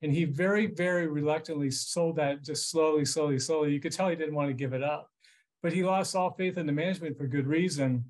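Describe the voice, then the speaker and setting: A man in his fifties with an American accent and a fairly high-pitched voice. He explains things informally as a financial commentator, speaking conversationally over a video call from a room at home. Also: steady tone, brisk, a little mellow. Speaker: financial journalist interviewed as expert. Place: small furnished room at home.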